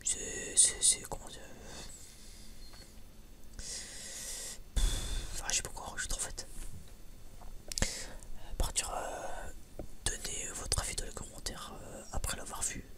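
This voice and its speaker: Hushed, breathy ASMR whisper. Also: takes it slowly, long pauses. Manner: rambling, hesitant, informal. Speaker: ASMR video creator.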